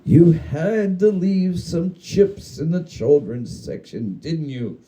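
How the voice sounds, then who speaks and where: A crude, whiny ghost acted out by a man in a comic spooky story. The voice is very dark and soft-edged and swoops widely in pitch, with taunting, melodramatic delivery. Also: animated, slow. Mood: sulky, whiny. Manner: taunting, mock-parental, melodramatic. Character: gleeful, needling ghost of the narrator, crude and whiny. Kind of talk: dramatic comic story reading.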